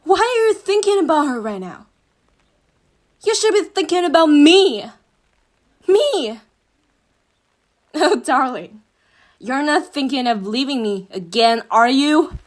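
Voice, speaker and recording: A woman's voice: high-pitched, sing-song, heavily acted. A woman playing a young, obsessive yandere girlfriend in a roleplay monologue, syrupy and menacing, speaking intimately to her partner with a jealous intensity. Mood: jealous, agitated. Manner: slow, sugary endearments swinging into intense jealous outbursts. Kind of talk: dramatic roleplay monologue addressed to the listener.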